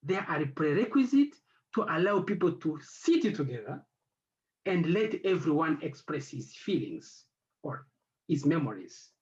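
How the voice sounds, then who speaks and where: A man whose first language is French speaks on an academic panel. His voice sits a little high and moves a lot in pitch. He pauses and searches for words, and the recording sounds dull and lo-fi. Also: very animated, darkish tone. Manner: halting, searching for words with many fillers. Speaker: head of an institute.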